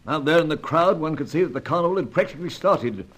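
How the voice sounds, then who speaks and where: Husky, blustery British gentleman spymaster. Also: on the high side, expressive, fast talker. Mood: exasperated. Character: blustery upper-class British male spymaster. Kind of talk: old-time radio adventure serial acting.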